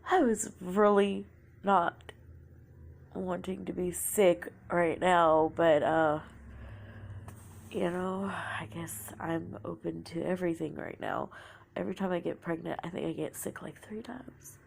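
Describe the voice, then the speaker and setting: A woman's voice: lowish voice, fairly even intonation, measured pace, vocal fry, a little hiss or hum. A young woman with a sore throat from a cold, telling her own story in a casual, confessional way and often trailing off into a whisper. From Oklahoma, United States.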